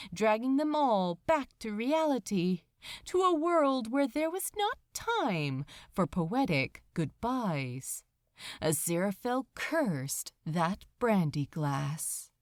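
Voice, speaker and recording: A woman reading a story aloud as narrator, in a measured and dramatic storytelling style. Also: mid-range voice, no echo, clean studio sound. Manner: expressive, measured. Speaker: fan fiction narrator.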